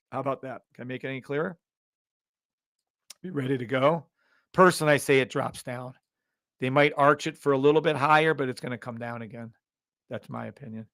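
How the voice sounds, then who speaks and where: A middle-aged man hosting a live trading stream, calling out orders in quick bursts with brash, profane commentary. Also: fairly high pitch, fairly bright tone, clear, resonant tone, no echo.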